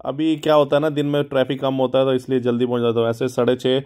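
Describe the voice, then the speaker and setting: A man's voice: mid-range voice, little pitch movement, fast talker, darkish tone. A man vlogging casually as he rides a motorbike outdoors, rambling and thinking aloud, recorded on a phone mounted on the bike. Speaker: motovlogger. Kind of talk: casual vlog chat. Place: outdoors riding a motorbike on the road.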